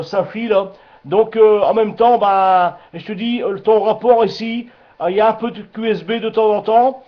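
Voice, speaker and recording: A man chatting casually over amateur radio, hesitant and repetitive, often searching for his words. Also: high voice. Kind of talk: casual radio contact chat. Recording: muffled amateur radio transmission.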